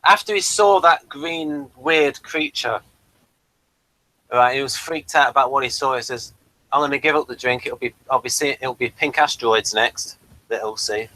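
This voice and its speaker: A man's voice: mid-range voice, moderate pace, bright, forceful tone, a little hiss or hum, rough recording. A man co-hosting a review show, talking in a casual, conversational style.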